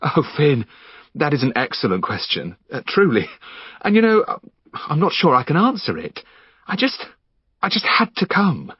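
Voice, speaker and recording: A man voicing a manic, unkempt young man who is obsessed with his old friend, talking in a high, frantic, giggling way. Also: wildly swooping pitch, normal speed, long pauses, breathy edge, dry recording, exaggerated voice. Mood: wistful. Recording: slightly dull dry studio recording.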